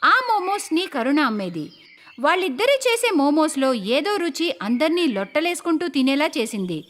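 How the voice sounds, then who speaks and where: High, animated woman storyteller. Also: moderate pace. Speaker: narrator. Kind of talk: scripted moral story narration. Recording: clear background noise.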